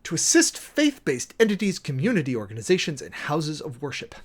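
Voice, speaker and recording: Theatrical, deliberate man in preacherly delivery. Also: a little high, huge pitch swings, breathy edge. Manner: theatrical, deliberate, formal, sermon-like delivery with emphatic pauses. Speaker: preacher and commentator hosting a show. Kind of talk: dramatic reading and close-reading commentary of a legal document.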